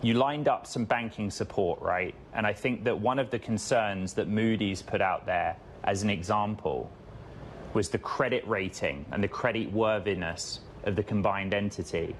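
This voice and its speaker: A man with a lowish voice conducts a business interview. He lays out long, measured questions, explaining and rewording them as he goes. There is slight noise in the recording.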